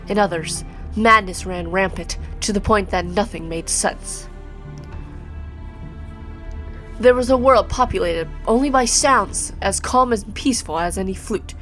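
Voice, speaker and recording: A woman's voice: medium pitch, fairly bright tone, close to an everyday voice. A woman reading the part of a mad, bitter male villain sorcerer in a grandiose, formal video game monologue. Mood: grandiose, scornful.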